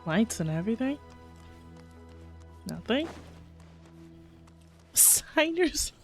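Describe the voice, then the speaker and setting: A woman's voice: on the high side, very animated, extremely slow. A woman streaming casual live reactions to a game, exclamatory and giggly, with casual swearing. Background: soft game music.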